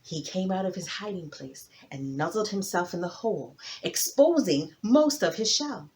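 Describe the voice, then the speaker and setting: A woman narrating a folktale as a storyteller, with theatrical emphasis and dramatic pauses. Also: fairly low pitch, lively intonation, a little mellow.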